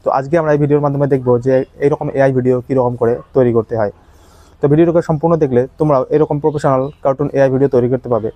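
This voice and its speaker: A man giving an informal step-by-step tutorial in a halting, repetitive way. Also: mid-range voice, fairly even intonation, lo-fi sound. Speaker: tutorial host.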